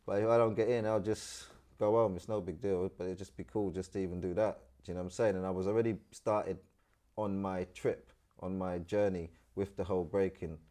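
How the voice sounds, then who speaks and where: Trinidad-born man telling lively stories. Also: low-pitched, brisk. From Trinidad and Tobago. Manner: animated storyteller, London street slang. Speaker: guest. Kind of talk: casual storytelling interview.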